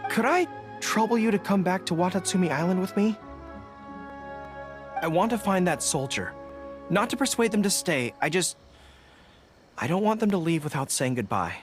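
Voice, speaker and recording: An actor playing an earnest, dutiful young canine general in a video game, speaking in a deliberate, thoughtful way. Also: male voice, high voice, animated. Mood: wistful, earnest. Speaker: army general answering readers' letters. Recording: background music under the voice.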